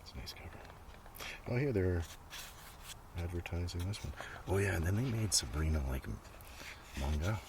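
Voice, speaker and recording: A man speaking in a soft, casual ASMR ramble that often slips toward a whisper, with unhurried murmured asides. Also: low-pitched. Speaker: host of a comics collection ASMR video. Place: outdoors. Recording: noisy outdoor recording with breeze. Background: breeze, page flipping, ambient noise.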